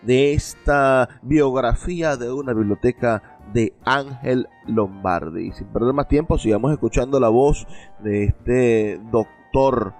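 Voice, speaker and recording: A man's voice: mid-range voice, measured pace, darkish tone, soft background music. A Venezuelan man presenting a radio program with eloquent, rhetorical phrasing built on repetition. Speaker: radio host. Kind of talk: radio presenting, flowery scripted-style narration.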